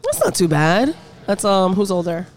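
A man with a notably high speaking voice hosts a relaxed podcast conversation. He reacts quickly and animatedly, teasing his guests as he goes. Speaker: podcast host. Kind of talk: casual chat.

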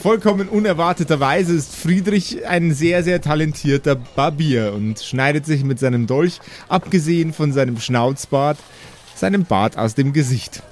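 A man's voice: on the high side, moderate pace. A man working as game master, narrating an improvised tabletop game and bantering with players. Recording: clearly audible background noise.

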